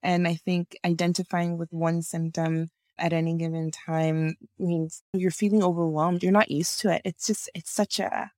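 A woman's voice: on the low side, level tone, normal speed, few pauses, darkish tone, dry recording, clean studio sound. A middle-aged woman from Nigeria, a naturopathic physician, talking thoughtfully in an interview and laughing often. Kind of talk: conversational interview.

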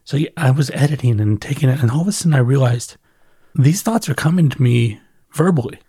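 A man speaks by himself in a very dry recording with little room sound. He has a mid-range voice and talks off the cuff like a podcaster. His delivery is conversational and thoughtful, using pauses and repeated phrases for emphasis. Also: mid-range voice, animated, mellow, breathy edge, dry recording. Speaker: podcast host and newsletter writer. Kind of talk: casual reflective solo monologue, off the cuff.

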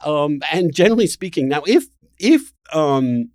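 A middle-aged man hosting a casual, spirited discussion, speaking haltingly as he thinks aloud. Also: a little high, sing-song, a little mellow, no echo, studio quality. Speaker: podcast host.

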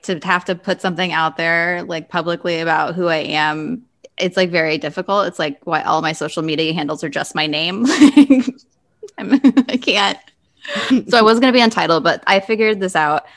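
A woman's voice: lowish voice, normal speed, a bit bright. A woman hosting a casual group discussion in a chatty, informal way, often saying "like". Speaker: podcast host.

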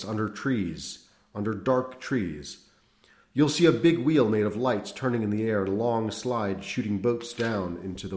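A man's voice: fairly low pitch, darkish tone, close to an everyday voice. A man voicing an agitated, unhinged middle-aged priest who rambles feverishly and insistently in an audiobook. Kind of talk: audiobook character voice. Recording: roomy echo.

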